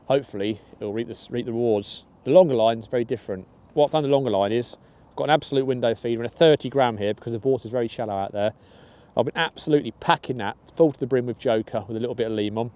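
A man's voice: medium pitch, darkish tone. A young man casually talking through his fishing bait outdoors by a lake, upbeat and chatty, restarting phrases now and then. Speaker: match angler. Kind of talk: fishing tutorial.

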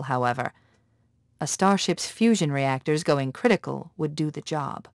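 A woman voicing a quick-witted, analytical military artificial intelligence in a video game, with a crisp, authoritative manner. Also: lowish voice, no room sound, clean studio sound, close to an everyday voice. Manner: crisp, precise, authoritative briefing.

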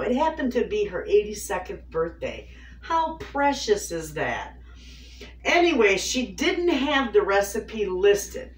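Chatty woman in an echoey kitchen. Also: mid-range voice. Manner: chatty, homey, addresses viewers as friends. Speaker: homestead cooking vlogger. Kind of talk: casual cooking chat to camera. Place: home kitchen, indoors. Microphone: far camera on a tripod. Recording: echoey kitchen from a distance, faint noise.

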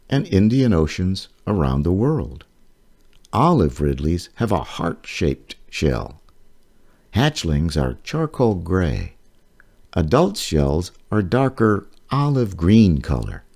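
A man with a husky voice reading a children's nonfiction book aloud in a measured, explanatory way. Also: low voice, a little mellow.

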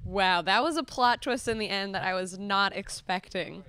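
A young woman giving casual, halting commentary peppered with "like". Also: mid-range voice, crowd noise. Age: young adult. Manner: halting, frequent "like". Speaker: student reviewer. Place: classroom studio, indoors.

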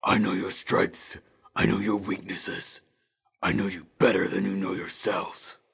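A man voicing a menacing, evil god-of-speed villain in a gruff, gravelly, deliberate voice. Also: deep voice, little pitch movement, a bit bright, pitched below the performer's own voice, heavily acted. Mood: cold menace.

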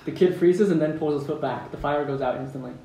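A man's voice: a little high, fast, warm tone. A man reading stage directions aloud at an amateur script table read in a room at home, in a plain manner with some amusement. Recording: roomy, distant mic with background noise.

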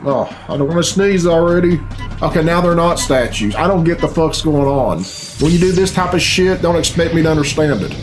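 A man's voice: fairly high pitch, a little fast. A man in his thirties giving casual, off-the-cuff reaction commentary in a blunt, grumbling, puzzled way, swearing freely. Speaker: reaction video host. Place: small furnished room at home. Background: game cutscene music and sound.

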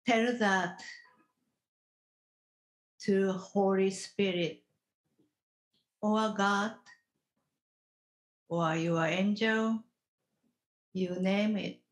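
A woman guides a meditation at an extremely slow pace. Her voice sits at a medium pitch. She gives gentle instructions in short, halting phrases, leaving long pauses between them. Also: fairly even intonation, rough recording.

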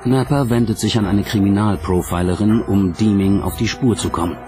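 A man narrates a documentary from a script. His voice sits fairly low and his delivery is measured and dramatic. Music plays clearly behind him.

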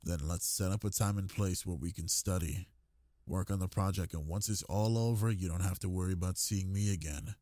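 A young man playing a brooding, possessive delinquent goth bully in an intimate ASMR roleplay, with a low, husky voice and a domineering murmured drawl. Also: fairly even intonation, a little fast, mellow, no echo, clean studio sound, natural voice. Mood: exasperated, insistent.

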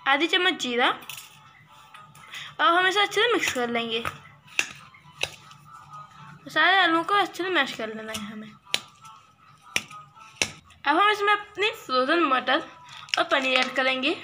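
Woman, cooking host, high voice, steady step-by-step explaining. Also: measured pace, pauses often, music in the background. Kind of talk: voice-over recipe instructions.

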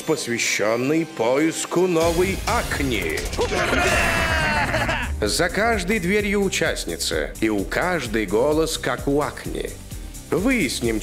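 A man voicing a polite, ceremonious elderly henchman who hosts a contest in a cartoon dub. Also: on the high side. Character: elderly formal male henchman hosting a contest. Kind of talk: cartoon dub, game-show announcing.

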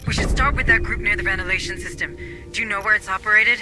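Game role, male actor: pragmatic administrator directing a squad, high male voice, earnest and urgent, score underneath. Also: fast talker, lightly acted. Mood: determined. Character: administrator turned activist, pragmatic man guiding marines by radio. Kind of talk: video game cutscene and mission dialogue acting. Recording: game music behind the voice.

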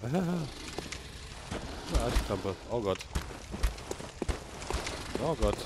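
A man's voice: on the low side, animated, very slow, very noisy. A man with a slight cold and a sniffle, chatting casually to viewers while he plays and reacts aloud to the game. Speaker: let's play gamer. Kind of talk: casual gameplay commentary.

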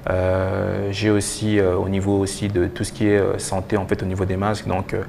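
A young man giving halting answers with repeated words as a guest in a TV interview. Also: deep voice, monotone, gravelly, a little mellow, a little hiss or hum. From DR Congo and Belgium. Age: young adult. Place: TV studio. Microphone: lapel mic.

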